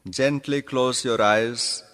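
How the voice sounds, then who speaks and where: Very slow-speaking man guiding meditation, long reverb. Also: mid-range voice, fairly even intonation, a bit bright. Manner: deliberate, instructing in short phrases with pauses. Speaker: yoga nidra instructor. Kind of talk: guided meditation instruction. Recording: long reverberant hall echo.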